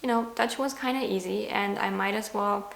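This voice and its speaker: A woman's voice: medium pitch, moderate pace, creaky, echoey room. A woman in her twenties from Germany with a German accent, chatting candidly and self-deprecatingly to camera about learning languages. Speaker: language vlogger. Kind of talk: casual talking-to-camera vlog.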